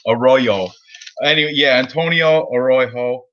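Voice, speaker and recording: A man's voice: mid-range voice, a little slow, a bit bright, lo-fi sound. A man co-hosting a casual sports betting show, rambling and laughing often as he talks through his picks. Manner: rambling, laughs often, reads chat aloud. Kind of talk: casual chat.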